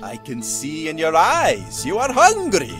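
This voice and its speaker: A woman voicing a proud but vulnerable male master cook in a visual novel, with a theatrical, halting delivery, over music. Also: low voice, wildly swooping pitch, voice pushed down, heavily acted. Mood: proud, grandiose. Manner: slow, halting, grandiose delivery. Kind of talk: visual novel character dialogue. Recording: music behind the voice.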